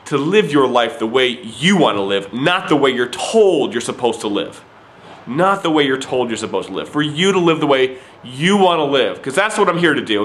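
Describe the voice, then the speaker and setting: A man giving an urgent, repetitive, preachy sales pitch straight to the camera. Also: a bit bright, echoey room. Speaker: recruiting pitch to viewers.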